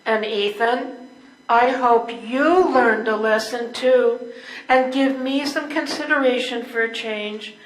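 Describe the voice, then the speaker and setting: Casting: older woman as devoted stage wife, high unhurried voice, gently assertive, echoey room. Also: slight background noise. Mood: gently reproachful. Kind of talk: readers theater stage play.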